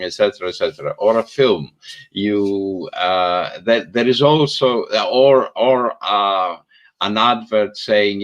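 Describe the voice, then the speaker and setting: A man speaking on an online panel as a lecturer, halting with many fillers and restarts. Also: lowish voice, a bit bright.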